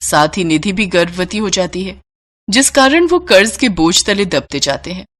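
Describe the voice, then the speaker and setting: A woman narrating a scripted moral story in an expressive storyteller's style. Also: mid-range voice, normal speed.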